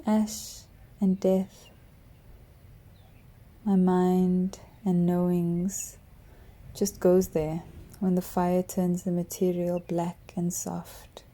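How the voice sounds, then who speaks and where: A woman narrating a poetic piece slowly and softly, sometimes dropping to a whisper. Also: lowish voice, flat delivery. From South Africa. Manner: soft, hushed, drifting into whispers.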